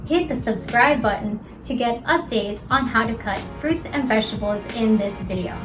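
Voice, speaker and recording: A woman's voice: a little high, moderate pace, music in the background, low-quality recording. A young Filipino-American woman, a native Tagalog speaker, cheerfully talking viewers through a cooking demonstration step by step. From Philippines. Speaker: cooking channel host.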